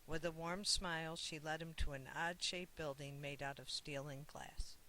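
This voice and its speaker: Children's book narrator, author's own read: bright tone, scripted storytelling, light hiss. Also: medium pitch, fairly even intonation, normal speed, rough recording.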